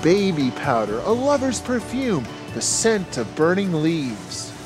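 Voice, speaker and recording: Man in his forties, TV cooking host, upbeat and playful, slightly high voice, swooping delivery, music playing underneath. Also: big pitch swings, measured pace. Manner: enthusiastic, playful, talks straight to camera. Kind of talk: scripted cooking show presenting. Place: home kitchen set and grocery store. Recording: music bed behind voice. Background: background music.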